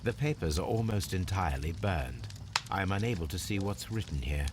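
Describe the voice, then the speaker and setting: An actor playing a shrewd, analytical gentleman detective in a video game, with an English accent and a precise, formal way of speaking. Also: low voice, expressive, brisk, background noise. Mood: observant, analytical. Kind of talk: video game detective monologue, deliberate observations.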